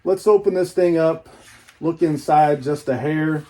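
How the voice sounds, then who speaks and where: A man with a slightly high-pitched voice talks informally as a collector showing off a purchase. His delivery is folksy and rambling, in the casual style of an unboxing video. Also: a little slow, mellow. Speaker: book collector reviewing an unboxing.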